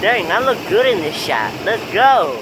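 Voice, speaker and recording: A young man vlogging outdoors on his phone, slightly hoarse from losing his voice, rambling with lots of fillers and pauses. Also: sits high, very animated. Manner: rambling, halting, full of fillers. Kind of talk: casual rambling vlog. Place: outdoors near a bus loop on a windy day. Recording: loud wind noise outdoors.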